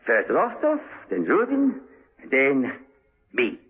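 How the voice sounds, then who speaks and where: Slow, swooping, sinister prisoner. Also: male voice, mid-range voice, wildly swooping pitch. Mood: gloating, menacing. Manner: theatrical, slow, drawn-out phrasing. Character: bitter, scheming male prisoner, slyly confident, brooding. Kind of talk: 1970s radio drama acting. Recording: tinny narrow-band old radio recording.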